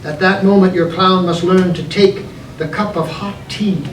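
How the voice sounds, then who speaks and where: A man with a fairly high voice reads his own story to be heard live. He is theatrical and deliberate, leaning on dramatic pauses. The recording is distant and echoey, with clear noise behind him. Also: fairly even intonation. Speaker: author reading from his own book. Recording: echoey, noisy room, distant mic.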